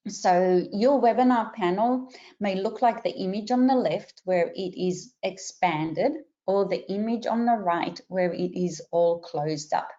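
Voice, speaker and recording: A middle-aged woman with a mixed Israeli, Australian and American accent, hosting a webinar and giving clear step-by-step instructions. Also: medium pitch, dark tone, lo-fi sound. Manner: clear and instructive, with some filler pauses. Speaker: webinar host and career coach. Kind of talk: webinar introduction.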